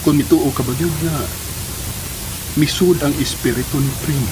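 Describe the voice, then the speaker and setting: A man's voice: fairly high pitch, normal speed, natural voice. An actor playing an earnest, ambitious grown Filipino son confiding in his mother in a radio drama. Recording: loud static hiss throughout.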